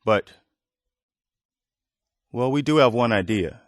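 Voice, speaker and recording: An actor playing a weary, earnest, plainspoken militia leader who protects refugees, in post-apocalyptic video game dialogue. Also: male voice, mid-range voice, animated, slow, no room sound, studio quality. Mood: resigned, hopeful.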